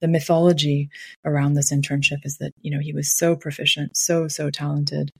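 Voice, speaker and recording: A woman curator answering interview questions in a measured, explanatory way, often saying "sort of". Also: low voice, flat delivery, gravelly, slightly rough, dry recording. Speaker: curator, guest.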